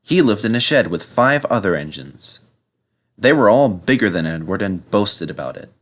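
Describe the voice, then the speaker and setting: Male narrator for children's stories, lowish register, very animated delivery. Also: moderate pace. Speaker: narrator reading a children's storybook. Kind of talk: storybook narration and spoken video introduction.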